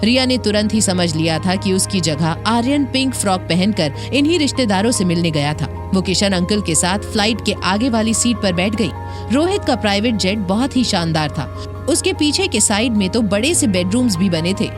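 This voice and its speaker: A woman narrates a scripted drama story. Her voice is mid-range, with soft music playing behind the narration. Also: mid-range voice, expressive, normal speed.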